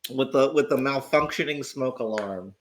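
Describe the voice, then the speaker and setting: A man with a raspy, sick voice, chatting casually as host of his livestream, rambling and swearing with lots of restarts. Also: mid-range voice, moderate pace, slight background noise. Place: at home.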